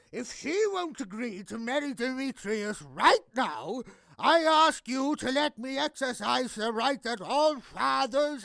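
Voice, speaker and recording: A man's voice: very high-pitched, vocal fry, a bit bright, dry recording, full character voice. A man playing an outraged, controlling noble father in an audio drama, declaiming his complaints indignantly. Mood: demanding. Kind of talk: modernized Shakespeare audio drama acting.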